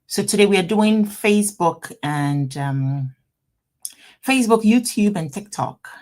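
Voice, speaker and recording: A woman from Ghana with a Ghanaian accent, an attorney hosting a live Q&A stream from her office, greeting viewers warmly and repeating herself as they join. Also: mid-range voice, lively intonation, a little slow. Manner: upbeat, repetitive, greeting viewers by name and place. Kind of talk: casual livestream chat.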